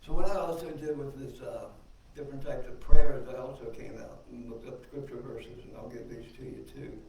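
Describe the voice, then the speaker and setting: A soft-voiced man giving an informal, reflective talk on faith as a guest speaker at a church service, in a conversational way. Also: mid-range voice, steady tone, normal speed, creaky. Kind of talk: informal sermon teaching from notes and scripture. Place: indoor service room, mic some way off. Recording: distant mic, roomy echo, faint noise.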